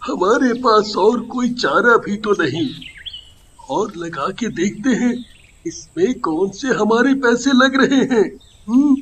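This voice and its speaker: Casting: male actor as earnest poor villager, high-pitched, unhurried, animated folk tale, slight background noise. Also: exaggerated voice. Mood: reassuring. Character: humble, good-hearted poor village man, easily amazed. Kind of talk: animated story voice acting.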